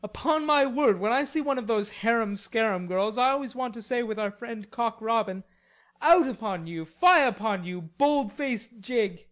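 A woman reading an audiobook as a chivalrous, teasing young college gentleman with a mock-superior air and old-fashioned gallant speech. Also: fairly high pitch, animated, normal speed, close to an everyday voice. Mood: superior, exasperated. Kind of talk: audiobook character dialogue.

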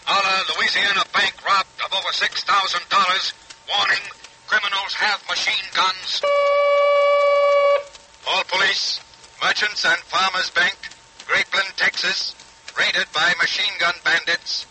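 Piercing, urgent police dispatcher. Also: male voice, sits high, flat delivery, unhurried, sharp tone, full character voice. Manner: terse, staccato announcing. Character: urgent, clipped male police radio dispatcher. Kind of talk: 1940s radio drama police bulletin. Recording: noisy, harsh vintage radio recording.

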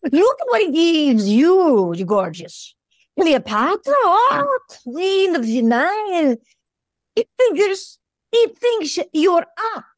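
A woman playing an excitable, theatrical show dog in an audio play, with a gushing, dramatic manner. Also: extremely high pitch, takes it slowly, dry recording, heavily acted. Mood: envious, gushing.

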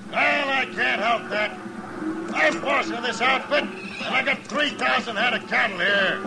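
Blunt, gravelly high-voiced trail boss. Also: male voice, unhurried, heavily acted. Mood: gruff, unyielding. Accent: American. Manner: blunt, shouting over the herd. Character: gruff, stubborn trail boss of a cattle herd. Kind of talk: 1950s radio western acting. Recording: noisy old radio recording, cattle ambience.

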